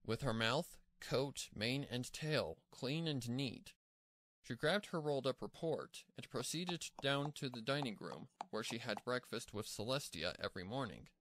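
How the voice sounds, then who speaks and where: A man reading scripted story narration in an expressive storytelling manner. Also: medium pitch, a little slow.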